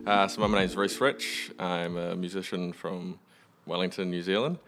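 A man's voice: low voice, fairly even intonation, normal speed, music in the background. A young man from Western Australia, a musician guest chatting casually in an understated, matter-of-fact way. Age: young adult. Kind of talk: casual chat.

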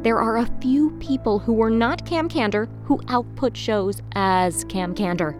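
A woman's voice: on the high side, measured pace, faint music behind, lightly acted. A woman playing a self-important, fiercely certain fan club commandante in a mock interview, pompous and emphatic. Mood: defensive, emphatic. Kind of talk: mockumentary audio drama interview.

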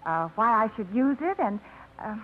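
A woman's voice: fairly high pitch, big pitch swings, moderate pace, slight background noise. A shy, flustered young woman. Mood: timid. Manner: hesitant, flustered, stumbling. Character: shy, hesitant young woman named Maggie. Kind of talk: 1950s radio drama acting. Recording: muffled 1950s radio broadcast.

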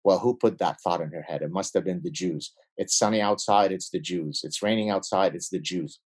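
A man who grew up in Lebanon with Arabic as his first language speaks in a low, deep register. He sits as a guest in an interview and argues with emphatic repetition, piling up lists and rephrasing as he goes. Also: fairly quick. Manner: animated, emphatic lists and restarts. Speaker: guest, behavioral scientist. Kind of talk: podcast interview.

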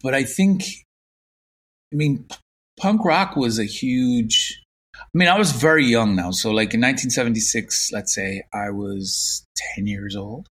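A man in his fifties with a Limerick Irish accent, recalling his past as an interview guest in an unhurried, reflective way. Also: medium pitch, a bit bright, breathy edge. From Ireland. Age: 50s. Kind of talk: casual interview.

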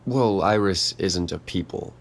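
Shy lonely botanist, low husky voice, stammers, pitch jumps around, audio drama. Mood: awkward, earnest. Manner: halting, stammering, formal wording. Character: awkward, lonely botanist, hesitant and earnest. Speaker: voice actor. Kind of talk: naturalistic audio drama dialogue.

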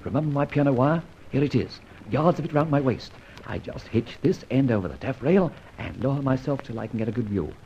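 Man playing a suave roguish gentleman adventurer, lively pitch. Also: brisk, few pauses, natural voice. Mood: brisk, confident. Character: suave roguish gentleman adventurer, clipped, breezy, secretly scheming. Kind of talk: 1950s radio adventure serial acting. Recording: muffled, noisy vintage radio recording.

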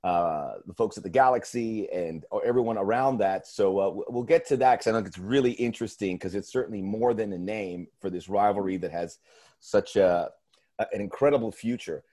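A man's voice: fairly low pitch, brisk, dark tone. A man hosting a sports podcast, talking in an earnest, emphatic way and often restarting his phrases. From United States. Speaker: podcast host. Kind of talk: casual podcast talk.

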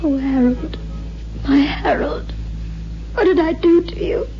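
A dull vintage radio recording with prominent music carries a grieving widow in a horror play. Her voice sits fairly high, and she tells her haunted story with earnest, lonely anguish. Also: slow. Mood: despairing. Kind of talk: 1960s radio horror drama acting.

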